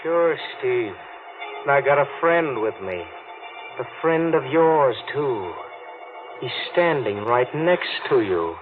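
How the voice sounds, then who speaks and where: A man acting the calm, taunting ghost of a drowned man in old-time radio horror, slow, menacing and hypnotic. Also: mid-range voice. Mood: quietly menacing. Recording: tinny vintage radio recording with music.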